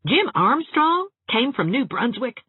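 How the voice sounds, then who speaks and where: A woman's voice: high voice, big pitch swings, unhurried, husky, no room sound, narrow, tinny audio, pitched above the performer's own voice, heavily acted. A woman voicing a blunt, folksy, scolding older countrywoman who is easily affronted, in a storybook reading. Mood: opinionated.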